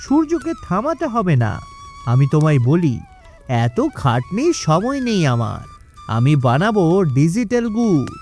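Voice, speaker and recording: A man acts out a cocky village trickster in a cartoon. His fairly high voice swings up and down as he boasts, wheedles and brushes off any objection, always scheming for easy money. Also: huge pitch swings, measured pace, darkish tone, faint music behind, heavily acted. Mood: boastful mockery. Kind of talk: animated cartoon dialogue.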